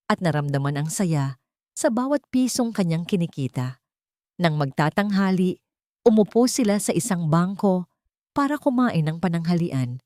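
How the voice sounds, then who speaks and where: A woman storyteller with a low voice narrates a sentimental romance. The recording is close and dry, and the reading is scripted. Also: dark tone, no room sound.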